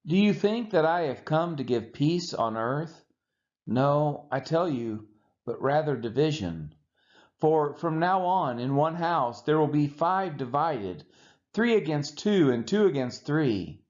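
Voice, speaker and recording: A man with a slightly high voice reads scripture aloud for a church read-along. His intonation swings widely, with emphatic, dramatic stress and measured phrasing. The recording sounds dull and lo-fi. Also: expressive, darkish tone. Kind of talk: expressive scripture reading aloud. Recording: dull, muffled lo-fi audio.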